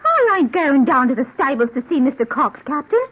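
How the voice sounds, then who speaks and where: An actress playing a blunt young chambermaid with rough grammar, in 1940s radio acting style. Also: female voice, high-pitched, big pitch swings, a little fast. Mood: indignant. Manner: plain-spoken, uses rough grammar. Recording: dull old radio recording.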